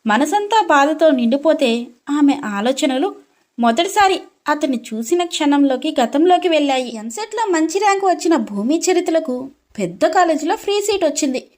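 High-voiced woman storyteller. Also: expressive, moderate pace, a little mellow. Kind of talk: scripted dramatic story narration.

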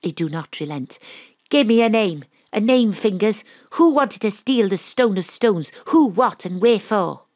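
A woman's voice: mid-range voice, lively intonation, unhurried, darkish tone, dry recording. A woman playing a determined, wry Welsh private detective, acting and narrating in a noir audio drama. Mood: insistent.

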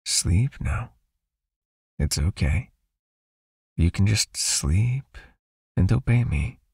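Guy doing a creaky low vampire hypnotist for asmr, hushed n coaxing, possessive but caring, really raspy. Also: expressive, extremely slow, no echo, clean studio sound, exaggerated voice. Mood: soothing, commanding. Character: possessive soothing male vampire hypnotist, controlling yet caring.

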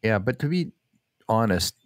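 A man's voice: fairly low pitch, big pitch swings, normal speed, dry recording, clean studio sound. A man co-hosting a business podcast, chatting casually and joking with his co-host. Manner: friendly, joking.

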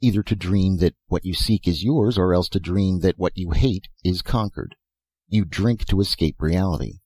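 A man's voice: low-pitched, moderate pace, darkish tone, breathy edge, no room sound. A man voicing an eccentric, philosophizing old professor who talks in short, insistent phrases and rhetorical questions in a storybook reading. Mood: sly, philosophical. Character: elfin bearded old male professor, eccentric, philosophical inventor.

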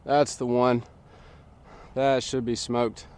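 A man's voice: medium pitch, takes it slowly, a little hiss or hum. Slightly nasal man coaching outdoors. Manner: relaxed, chatty, filler-heavy explaining. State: slightly nasal from a sinus infection. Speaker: disc golf coach. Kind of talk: casual instructional talk. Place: open field outdoors. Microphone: camera on a tripod at a distance.

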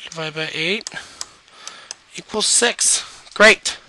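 A man's voice: sits high, takes it slowly, pushed and bright, a little hiss or hum. A man teaching a math lesson over an onscreen whiteboard, losing his voice and patiently guiding students step by step.

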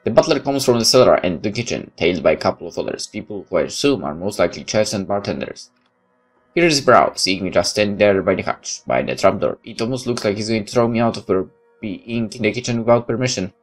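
Very low-voiced man reading aloud. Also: lively intonation, fast talker. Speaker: let's player. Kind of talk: reading game narration aloud with commentary. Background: game music.

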